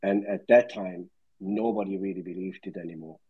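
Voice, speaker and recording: A man's voice: low voice, steady tone, normal speed, breathy edge, phone-quality sound. An older man from Switzerland, calling in to a live audio room and rambling through dates and figures from his early years in finance. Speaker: caller. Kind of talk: casual market recollection.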